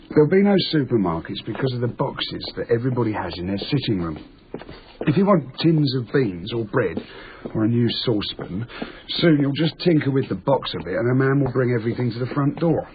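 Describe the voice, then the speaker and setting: A man's voice: mid-range voice, expressive, moderate pace, lightly acted. Actor as a rambling, earnest man, tinny noisy recording. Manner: rambling, naive, matter-of-fact explaining. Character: rambling, earnest, simple modern working man explaining the world. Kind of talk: naturalistic radio drama dialogue. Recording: tinny lo-fi radio broadcast, noisy.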